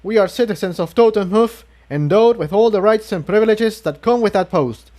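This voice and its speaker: A man's voice: high voice, moderate pace, dark tone, pitched above the performer's own voice, close to an everyday voice. A man voicing a nervous male pony guard in a storybook, with a stilted, stumbling, formal delivery as if reciting.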